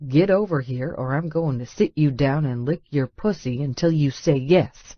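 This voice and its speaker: A man's voice: on the high side, normal speed, no echo, highs cut off, close to an everyday voice. A man playing a blunt, stubborn redneck billionaire in an audiobook. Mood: matter-of-fact, cocky. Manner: folksy, blunt, colloquial. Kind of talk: audiobook character dialogue.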